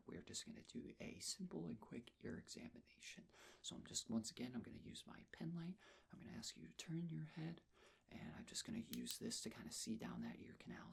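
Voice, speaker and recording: Soft spoken asmr guy doing a nurse checkup, low n gravelly, kinda whispery, gentle and reassuring. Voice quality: breathy, husky, often whispered. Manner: gentle, reassuring, unhurried personal attention. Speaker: ASMR performer playing a nurse.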